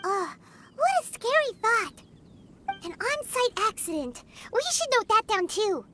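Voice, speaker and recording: Tiny game fairy, extremely high squeaky voice, wildly animated, childlike, eager, loud background noise. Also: female voice, a little slow, full character voice. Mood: curious. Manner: exclamatory, curious, eager. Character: tiny excitable childlike floating fairy sidekick. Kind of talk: video game character acting.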